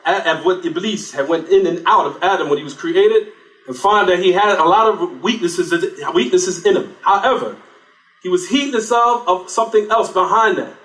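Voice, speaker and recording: A man's voice: high voice, moderate pace, a bit bright. A man giving a religious lecture, often asking rhetorical questions and then answering them himself. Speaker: Islamic lecturer. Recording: echoey room, distant mic.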